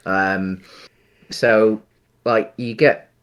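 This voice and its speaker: A man co-hosting a casual film discussion, speaking in a halting, hesitant way with frequent ums. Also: on the low side, steady tone, clear, resonant tone, highs cut off. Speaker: podcast co-host.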